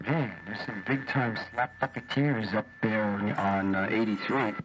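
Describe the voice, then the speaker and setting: Through a muffled, static-laden shortwave signal, a man talks in a fairly low voice. He is a ham operator chatting casually, teasing and a little halting. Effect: shortwave radio transmission. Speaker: amateur radio operator. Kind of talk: casual group chat over shortwave ham radio. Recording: muffled, noisy shortwave radio reception. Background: radio static.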